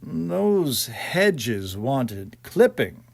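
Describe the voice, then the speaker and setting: A man voicing a fussy, uneasy gentleman house-hunter in an audiobook ghost story, musing aloud in clipped mutters. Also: medium pitch, sing-song, extremely slow, gravelly, exaggerated voice. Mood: grumbling.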